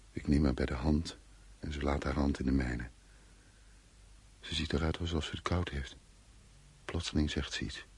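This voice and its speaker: An actor playing an anxious, irritable man fleeing war in a radio play, with a husky voice. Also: rumbling low, no echo, heavily acted. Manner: agitated, commanding, brooding when narrating. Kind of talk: Dutch radio play acting.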